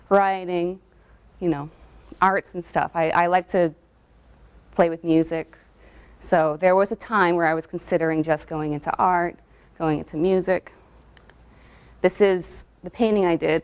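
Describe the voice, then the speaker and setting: A woman's voice: lowish voice, a little mellow. A young adult woman, a lab technologist, giving a friendly, explanatory talk about her work and background, with frequent ums. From New England and Southern California. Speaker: guest speaker, cytogenetic technologist. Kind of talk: presentation talk with slides.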